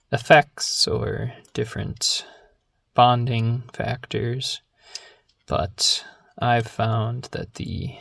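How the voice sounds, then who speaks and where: Hushed, slow ASMR voice with creaky edges. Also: mid-range voice, no room sound. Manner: hushed, gentle, unhurried, drifting into whisper. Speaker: ASMR video creator and host. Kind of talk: soft-spoken ASMR show-and-tell.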